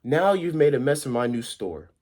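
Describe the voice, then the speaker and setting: A man's voice: mid-range voice, very animated, moderate pace, dark tone, pitched above the performer's own voice. A man voicing a stern, exasperated but fair female toy store owner in a storybook, plain-spoken.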